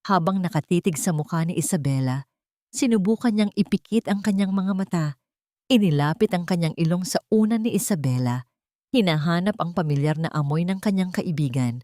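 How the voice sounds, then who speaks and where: A woman's voice: on the low side, expressive, a little fast, warm tone, dry recording. Filipino woman narrating drama, close and dry. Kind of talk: scripted dramatic story narration.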